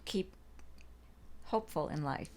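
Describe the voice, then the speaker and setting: A woman's voice: deep voice, a little slow, whispered. A woman hosting a podcast, encouraging and affirming as she interviews her guest. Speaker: podcast host. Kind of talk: casual interview talk. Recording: faint background noise.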